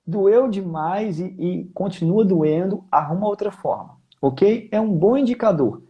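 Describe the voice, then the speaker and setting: Male Brazilian vocal teacher, high-pitched voice, informal, emphatic, conversational technical explanations. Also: animated, unhurried, dull audio. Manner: informal, emphatic, repeats key points. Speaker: singing teacher, guest.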